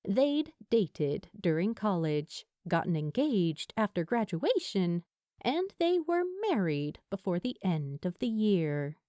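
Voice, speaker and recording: A woman reading a story aloud as a narrator, in an expressive scripted audiobook style. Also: on the low side, takes it slowly, no room sound, studio quality. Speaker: fan fiction narrator.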